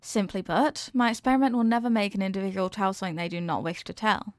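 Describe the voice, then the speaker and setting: A woman's voice: medium pitch, fast, no room sound, close to an everyday voice. A woman playing an earnest, formal young scientist who is fond of her test subject, in a scripted roleplay with precise, clinical wording. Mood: earnest, explanatory. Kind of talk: scripted roleplay addressed to the listener.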